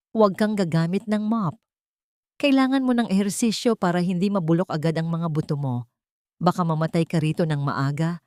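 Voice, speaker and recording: A woman's voice: on the low side, a little mellow, no room sound, studio quality, close to an everyday voice. A woman voicing a cold, haughty rich wife who gives contemptuous commands in an audio story. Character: cold, haughty rich wife bullying her mother-in-law.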